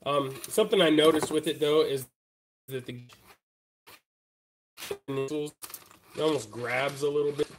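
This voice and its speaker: A man's voice: fairly high pitch, steady tone, takes it slowly, long pauses, slight background noise. Congested, laid-back guy chatting at a workbench. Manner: laid-back, chatty, talks straight to viewers. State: congested, stuffy-nosed from a head cold. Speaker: live-stream host. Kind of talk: casual live-stream chat while working at a bench. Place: hobby workbench.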